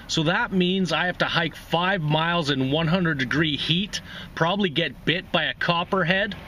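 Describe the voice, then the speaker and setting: A man's voice: on the high side, normal speed, sharp tone, a little hiss or hum. A man voicing a sullen, sarcastic young man who resents being jilted, in a short story reading.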